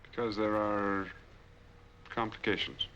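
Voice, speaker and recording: Male, secretive man on the run; low, hard-edged voice, clipped delivery, vintage noir soundtrack. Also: little pitch movement, takes it slowly, fairly bright tone, highs cut off. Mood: guarded. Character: terse, guarded drifter on the run. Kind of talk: 1940s film noir acting. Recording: faintly noisy 1940s film soundtrack.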